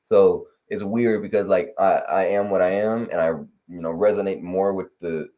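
Young man from LA, very low and mellow voice, loose rambling chat over a headset call. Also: moderate pace. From Los Angeles. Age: young adult. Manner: relaxed, rambling with many fillers. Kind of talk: casual chat. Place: home room on video call. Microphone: headset on video call.

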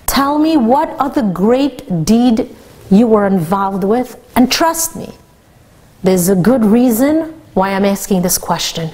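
A woman's voice: medium pitch, expressive, slow, darkish tone. Woman doing a probing wise rabbi. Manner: probing, insistent. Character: wise insistent male rabbi.

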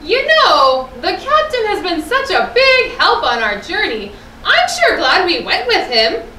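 A woman voicing a worried, grumbling young puppet sailor in a children's show, with slow, exaggerated delivery. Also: very high voice, huge pitch swings, bright, forceful tone, full character voice. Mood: puzzled. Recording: roomy echo, slightly distant mic, faint noise.

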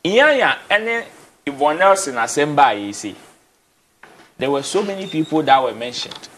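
A man's voice: fairly high pitch, big pitch swings, normal speed, fairly bright tone, a little hiss or hum. A young Ghanaian man with a Ghanaian accent, talking as a guest in a TV studio, switching between Twi and English and repeating words as he drives his points home. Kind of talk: casual TV studio discussion. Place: TV studio, indoors.

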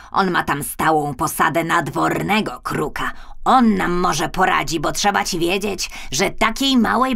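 A woman's voice: fairly low pitch, gravelly, a bit bright, heavily acted. A woman voicing a kindly, hesitant female crow in a children's audiobook, cawing and repeating her words emphatically. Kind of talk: children's audiobook character acting.

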